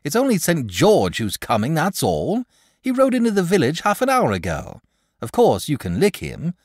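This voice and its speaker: A man voicing an eager, excitable young village boy in a storybook reading, pleading and high-pitched. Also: no room sound, clean studio sound.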